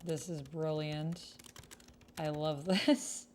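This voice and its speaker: A woman's voice: sits low, fairly even intonation, takes it slowly, a little mellow, a little hiss or hum. A woman hosting a roleplay livestream, talking informally to her viewers and reading chat. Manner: informal, chatty, addresses viewers. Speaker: streamer hosting a tabletop roleplay. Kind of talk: casual livestream chat, reading chat and story text aloud.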